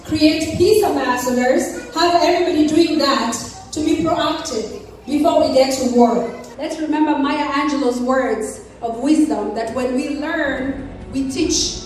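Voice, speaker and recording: A high-voiced woman speaking in an echoey hall. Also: darkish tone. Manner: measured, reading a proverb. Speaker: speaker at an award night. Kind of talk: speech to an audience. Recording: echoey hall, distant mic, music.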